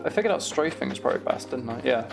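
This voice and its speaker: A man streaming a game, chatting casually and thinking aloud in an unhurried way as he plays. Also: mid-range voice, lively intonation, gravelly, music in the background. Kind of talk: casual gaming livestream chat.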